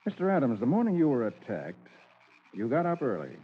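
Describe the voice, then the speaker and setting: An actor playing a calm private detective in old-time radio drama, courteous and measured as he questions people. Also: medium pitch, slightly rough. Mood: probing. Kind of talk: 1940s radio drama acting. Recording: muffled, noisy old radio recording.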